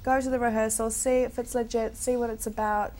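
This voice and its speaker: A woman in her twenties from South Africa, Afrikaans her first language, chatting earnestly and brightly as an interview guest. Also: a little high, flat delivery, brisk, a little hiss or hum. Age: 20s. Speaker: interview guest, pageant titleholder. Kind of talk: casual interview chat.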